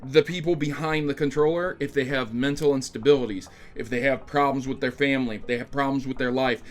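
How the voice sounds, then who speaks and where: A man's voice: mid-range voice, steady tone, a little fast. A man hosting a video game news show, giving casual, emphatic commentary with rambling asides and addressing his audience directly. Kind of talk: casual scripted-topic news commentary to camera.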